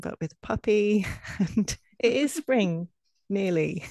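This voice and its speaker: A woman's voice: on the low side, creaky, darkish tone, no room sound. A woman co-hosting a parenting podcast, chatting cheerfully and laughing often. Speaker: podcast co-host. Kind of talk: casual chat.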